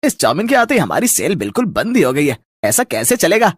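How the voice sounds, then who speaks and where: A man acts out a greedy rival shop owner for a cartoon. His voice rides high for a man, with no room echo at all. He bullies and gloats as he talks, throwing threats around. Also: huge pitch swings, a little fast, slightly rough, studio quality, heavily acted. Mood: annoyed, scheming. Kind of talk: Hindi cartoon story dubbing.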